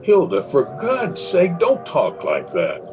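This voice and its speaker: A man's voice: on the high side, huge pitch swings, takes it slowly, over music, full character voice. A man playing a nervous, guilt-ridden husband, tremulous and brooding, in 1930s radio melodrama style. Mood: anguished, pleading. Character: nervous, guilt-ridden husband who killed his wife.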